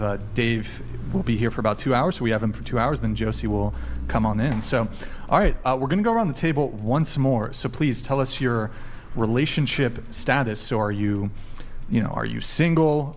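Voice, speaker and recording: Casual chatty male host. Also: medium pitch. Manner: relaxed, lots of "like" and "you know". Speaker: podcast host. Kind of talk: casual panel chat.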